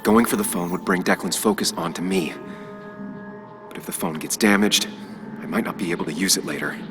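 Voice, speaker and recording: An actor playing a troubled, earnest journalist turned amateur detective in a video game. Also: male voice, sits low, brisk, over music, lightly acted. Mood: tense, deliberating. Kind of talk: video game voice acting, inner monologue and dialogue.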